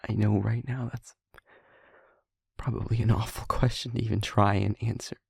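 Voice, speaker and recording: A man acting a guilt-ridden, tender-hearted young man in an intimate audio drama, with a breathy, husky voice and a halting, stammering, earnest delivery. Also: fairly low pitch, little pitch movement, darkish tone, no echo, studio quality, exaggerated voice. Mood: gentle, sorrowful. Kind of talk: intimate audio roleplay drama, emotional monologue.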